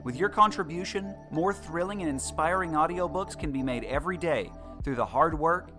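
A man's voice: fairly high pitch, normal speed, over music. A male voice actor reading a scripted promotional message addressed to listeners.

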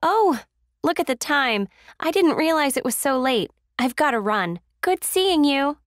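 An enthusiastic, friendly woman speaks scripted course dialogue. Her high voice swings widely in pitch. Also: sing-song, measured pace, dry recording, studio quality.

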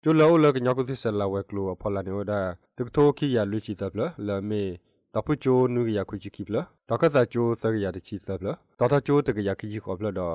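Male news presenter, lower-pitched voice, close dry studio sound. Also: quick pace, darkish tone, no echo. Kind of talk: radio news reading.

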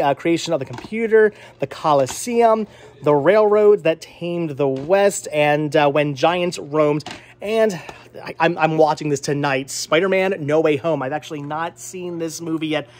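A man in his thirties hosting a casual shopping vlog with a jokey, teasing manner, talking into a handheld camera in a small shop. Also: a little high. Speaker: vlog host, movie collector. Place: indoors, small cluttered movie and game shop. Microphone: handheld camera at arm's length.

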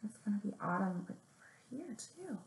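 A young woman leading a story time for small children, reading aloud and giving simple instructions in a measured, deliberate way. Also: medium pitch, big pitch swings, creaky, slight background noise. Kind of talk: picture-book reading and finger plays for young children. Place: small room indoors at home or office. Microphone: webcam or laptop mic at arm's length. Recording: roomy, echoing webcam sound.

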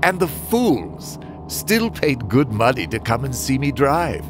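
An actor playing a bitter, self-loathing demolition derby driver, narrating a motion comic with brooding, theatrical, drawn-out phrasing. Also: male voice, medium pitch, very animated, over music. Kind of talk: dramatic first-person comic narration.

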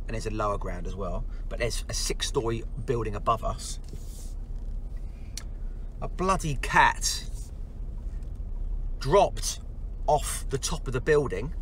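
Upbeat London businessman in his forties, car vlog, mid pitch, audible road hum. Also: sharp tone. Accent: London English. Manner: upbeat motivational, rambling with fillers. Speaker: business owner vlogger. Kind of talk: casual car vlog monologue. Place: inside a car while driving. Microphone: camera on the dashboard. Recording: car road noise behind.

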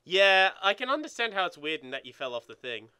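A man's voice: high voice, very animated, brisk, pushed and bright, smooth, dry recording. A young man co-hosting a gaming video with casual, playful banter and crude jokes. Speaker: let's play co-host.

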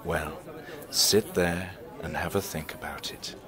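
An actor playing a mysterious, sly old vagrant who sells stories, speaking in a deliberate, theatrical, teasing way in an audio drama. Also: male voice, a bass voice, fairly even intonation, a crowd in the background. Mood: gentle, whimsical.

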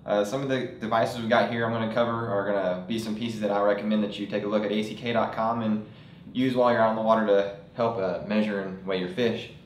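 A man's voice: lowish voice, fairly even intonation, fast, reverberant, slight background noise. A young man presenting fishing products at a table in a studio, talking casually with frequent fillers. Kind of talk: product demonstration.

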